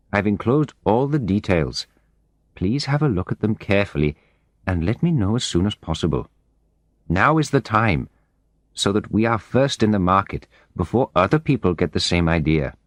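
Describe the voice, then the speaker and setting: An eager, earnest businessman, making his case in persuasive and slightly formal terms, as a male storybook narrator reads his letter. The voice sits low and is recorded dry and close. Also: dry recording.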